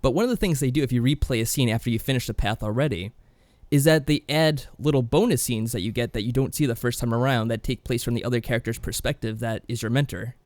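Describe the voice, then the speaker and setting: A man's voice: mid-range voice, fast talker, darkish tone, no echo. A man co-hosting a podcast, giving his views in casual discussion. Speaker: podcast co-host.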